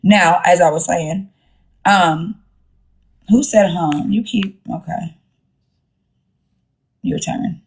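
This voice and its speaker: A woman's voice: lowish voice, slow, long pauses, slightly rough. A woman hosting a casual live stream, talking in a blunt, sassy, slangy way with plenty of swearing. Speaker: livestream host.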